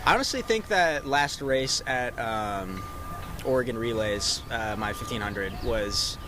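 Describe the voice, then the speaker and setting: Young male college runner, mid-pitch, laid-back and halting; street noise behind. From Texas, Dallas suburbs. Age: young adult. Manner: relaxed, many fillers and restarts. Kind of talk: casual press interview.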